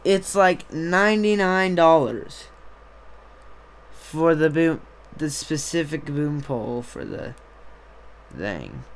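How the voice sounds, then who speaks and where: A young man, slightly hoarse, chatting casually on a live stream into a desk mic on a boom arm, rambling with many fillers. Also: very animated, slow pace. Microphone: desktop USB mic on a boom arm.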